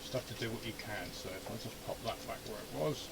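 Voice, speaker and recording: A man's voice: fairly low pitch, fairly even intonation, fast talker, vocal fry, very noisy. A man giving a casual running commentary on a hands-on repair, grumbling and muttering to himself as he goes. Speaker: amateur radio hobbyist and video host. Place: indoor home radio shack.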